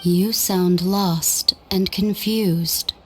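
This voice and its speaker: Slow, solemn mysterious oracle. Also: female voice, lowish voice, fairly even intonation, slight background noise. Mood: solemn, knowing. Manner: measured, cryptic, solemn. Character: mysterious unseen woman speaking solemn riddles of fate. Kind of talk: game voice acting.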